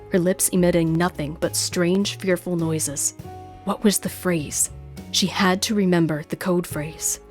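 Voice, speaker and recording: A woman's voice: low voice, darkish tone. A woman hosting her own show, moving between scripted story narration and chatty talk as an author. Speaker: host and author.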